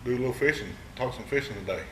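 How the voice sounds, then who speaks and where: A man's voice: mid-range voice, rapid-fire, distant mic. A man hosting the show, chatting in a friendly, easygoing, folksy way. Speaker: host. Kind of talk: casual chat. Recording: echoey room, mic held back, faint noise.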